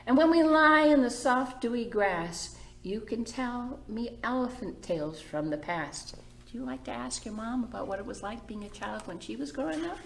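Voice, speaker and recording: A woman's voice: a little high, expressive, moderate pace, gravelly, slight background noise. A middle-aged woman hosting a children's storytime, reading a picture book aloud and putting simple questions to young listeners. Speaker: children's librarian and storytime host. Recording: roomy echo.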